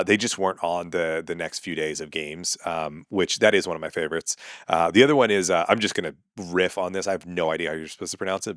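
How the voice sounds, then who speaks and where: A man's voice: sits low, fairly bright tone, dry recording, clean studio sound. A man, a comedian and podcast host ranting sarcastically and with exasperation in a casual monologue.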